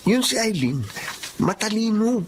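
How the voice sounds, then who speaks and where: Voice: medium pitch, big pitch swings, a little slow, noisy background. A performer playing a cocky, scheming teenage schoolboy with a teasing, smug manner in a Tagalog radio drama. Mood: mocking, scheming.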